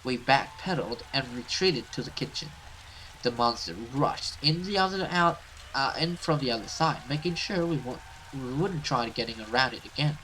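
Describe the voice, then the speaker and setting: A man's voice: mid-range voice, expressive, normal speed, sharp tone, slight background noise, rough recording. A man hosting a let's play, reading a horror visual novel aloud and stumbling over some words as he goes.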